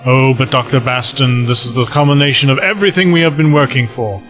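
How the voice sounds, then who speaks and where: A man's voice: medium pitch, fairly quick, a bit bright, soft background music, close to an everyday voice. A man playing a smug, scheming villain who commands monsters, suave and gloating, in an audio drama. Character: smug, scheming male villain, self-styled master of monsters.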